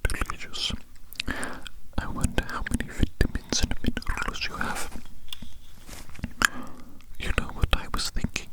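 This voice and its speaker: A performer voicing a polite, gleeful human-eating alien in a breathy, close-up ASMR whisper, savouring and musing in soft repeated phrases. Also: a little slow, pauses often, close to an everyday voice, rough recording. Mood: musing, curious. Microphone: very close microphone.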